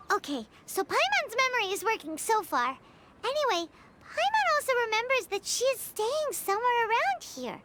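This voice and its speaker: A woman voicing a tiny childlike fairy companion in a video game, with a squeaky, expressive and earnest voice. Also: very noisy. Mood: matter-of-fact. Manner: refers to self in third person, expressive. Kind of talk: video game cartoon voice acting. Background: background music.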